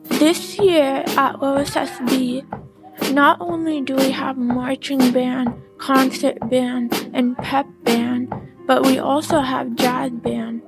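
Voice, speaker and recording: A young woman reading scripted narration in a careful, deliberate way. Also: high voice, level tone, gravelly. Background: music under the voice.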